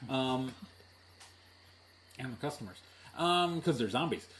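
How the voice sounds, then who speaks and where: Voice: mid-range voice, lively intonation, measured pace, fairly bright tone, a little hiss or hum. A game master running a tabletop game in casual, teasing banter and narration.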